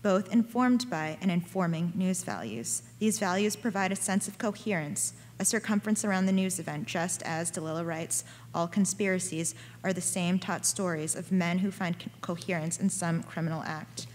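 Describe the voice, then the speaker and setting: A woman with a slightly low voice reads an academic paper at a conference. Her delivery is measured and even as she works through dense written sentences. The sound carries a long reverb from the lecture hall. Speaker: conference speaker. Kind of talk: reading a prepared academic paper.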